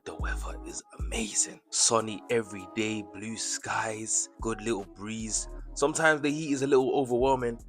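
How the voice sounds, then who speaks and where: A young man from the UK talking casually to camera about his time playing football abroad, chatty and animated, with slangy asides to the viewer. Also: lowish voice, normal speed, few pauses, faint music behind. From United Kingdom. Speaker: vlogger and footballer.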